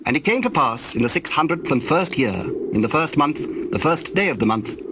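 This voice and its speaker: A man narrating dramatized scripture in a formal, measured storytelling manner. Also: fairly high pitch, expressive, background noise. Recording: loud nature ambience with birdsong behind.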